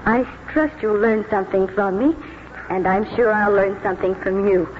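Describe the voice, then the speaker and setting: A woman's voice: medium pitch, moderate pace, a little hiss or hum, lightly acted. An actress in a 1940s radio drama playing an eager, romantic young woman schoolteacher with an earnest, dreamy way of talking. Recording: tinny 1940s radio recording with music.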